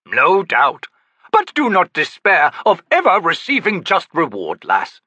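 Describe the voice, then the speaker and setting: Guy doing a show-off trickster scholar for a storybook, fancy flowery talk, high and swoopy. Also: huge pitch swings, unhurried, sharp tone, husky, no echo, muffled recording, full character voice. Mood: genial. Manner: grandiloquent, speaks of himself in third person. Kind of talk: audiobook character voice.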